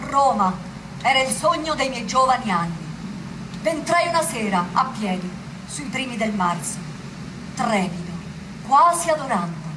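A woman's voice: on the high side, far from the mic, noisy background. A woman giving a solemn dramatic reading of a memoir.

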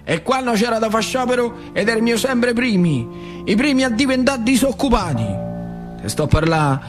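A man's voice: very high voice, sing-song, moderate pace, over music. An actor playing an old working-class storyteller with a central Italian dialect from northern Lazio, speaking in an earthy theatrical monologue and prodding his listener with questions. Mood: nostalgic, intense.

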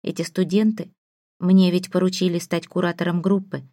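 Audiobook role, read by a woman: prickly female academy teacher, sardonic, curt, slightly low and gravelly. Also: flat delivery, moderate pace, mellow, dry recording, studio quality, natural voice. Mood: conciliatory. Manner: biting, curt.

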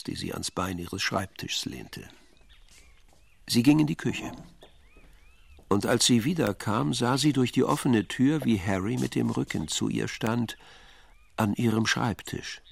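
A man reads scripted narration for a radio drama. His voice is on the low side with a gravelly creak, and music plays behind him.

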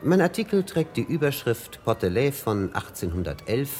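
An actor playing an ambitious, proud young writer in a radio drama, earnest and self-satisfied. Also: male voice, mid-range voice, sing-song, moderate pace, darkish tone, faint music behind, natural voice. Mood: boastful.

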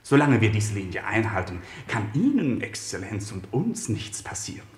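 An actor playing a complacent, reassuring foreign minister in a satirical German radio play, assured and formal. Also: male voice, fairly low pitch, big pitch swings, moderate pace, roomy sound. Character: smooth-talking, complacent male foreign minister, soothing his ruler.

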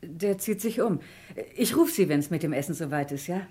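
Dark-toned, brisk village woman. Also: fairly low pitch, lively intonation, slightly rough. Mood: obliging, hospitable. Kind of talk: radio play dialogue.

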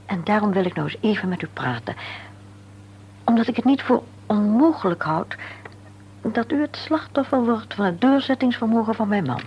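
An actress playing a composed, tactful middle-aged wife in a radio play, speaking formally and deliberately with polite insistence. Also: female voice, medium pitch, lively intonation, natural voice. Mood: earnest, tactful. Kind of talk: Dutch radio play acting. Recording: dull, hissy old radio recording with hum.

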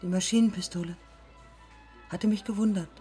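An actress playing a proud, embittered dead woman aviator in a poetic radio play, speaking in terse, measured fragments. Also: medium pitch, fairly even intonation, warm tone, faint music behind, close to an everyday voice. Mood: resolute, reflective. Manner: measured, terse, fragmented phrasing with deliberate pauses.

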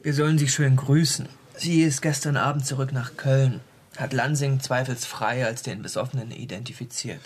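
A man's voice: mid-range voice, fairly even intonation, moderate pace, husky, lightly acted. A man playing a plain-spoken police detective who reports facts without fuss in a radio crime drama. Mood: factual. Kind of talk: naturalistic German radio crime drama dialogue.

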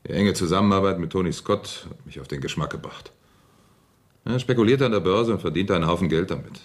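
An actor in a German radio drama playing an embittered, cynical deputy director, with a blunt, exasperated manner. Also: male voice, deep voice, a little fast, lightly acted. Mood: rueful.

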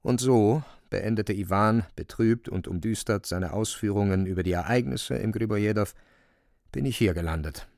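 A man's voice: lowish voice, normal speed, creaky, a little mellow, no echo, clean studio sound. A man narrating a radio drama in a scripted, literary storytelling style.

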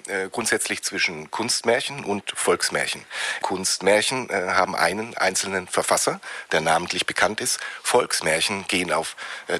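A man's voice: deep voice, pushed and bright. A man playing a middle-aged, pedantic professor of German literature in a radio drama, lecturing hesitantly.

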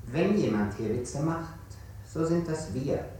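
Stern male interrogator, threatening, drawn-out words, echoey noisy radio play recording. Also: fairly high pitch, lively intonation, mellow. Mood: condescending threat. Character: condescending, threatening male interrogator, formally polite. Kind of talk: 1960s radio play acting.

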